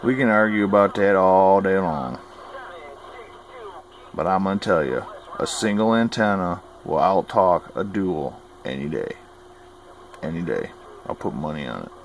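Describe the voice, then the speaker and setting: A man's voice: sits low, animated, a little slow, long pauses, slight background noise. A man giving informal how-to advice as a radio hobbyist, folksy, plain-spoken and opinionated. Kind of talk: informal instructional talk.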